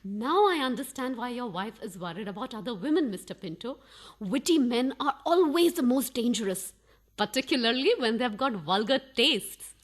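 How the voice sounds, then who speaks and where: An actress playing a suspicious, imperious wife in a radio farce, with a haughty, sarcastic and sharp-tongued manner. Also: female voice, fairly high pitch, lively intonation, normal speed. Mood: mocking. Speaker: actor.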